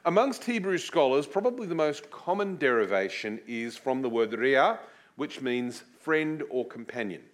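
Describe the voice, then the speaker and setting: A male preacher gives a teaching sermon in a conversational, explaining style. His voice is lively, with wide swings in pitch and lifts on the words he stresses. He keeps it informal with asides that make light of himself. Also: moderate pace. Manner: animated, swooping emphasis on key words; chatty, with self-deprecating asides. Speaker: preacher teaching a series on a Bible book. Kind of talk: sermon with Bible teaching and prayer.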